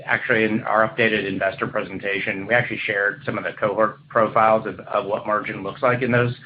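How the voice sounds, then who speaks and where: A man speaking as a company executive, answering questions on an earnings call in measured business language. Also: lowish voice, flat delivery. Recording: muffled conference line, faint noise.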